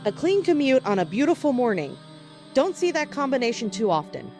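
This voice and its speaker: A woman's voice: high voice, big pitch swings, a little fast, darkish tone, soft background music. A woman playing a composed, cheerful morning TV news anchor in an audio drama, polished and chatty with a light wry touch. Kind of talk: broadcast news reading.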